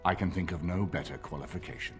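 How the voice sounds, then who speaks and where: A man playing a dignified older nobleman in a fantasy game, formal, measured and authoritative. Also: low voice, faint music behind, close to an everyday voice. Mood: reflective.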